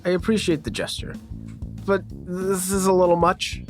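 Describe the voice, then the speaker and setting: A man's voice: high-pitched, animated, moderate pace, faint music behind. A man casually narrating an improvised tabletop game as game master.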